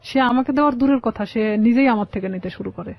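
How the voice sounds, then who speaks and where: A woman's voice: on the high side, fast, gravelly. A woman with a Bangladeshi accent telling her personal story as an interview guest, explaining in a roundabout, conversational way. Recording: dull, lo-fi voice sound.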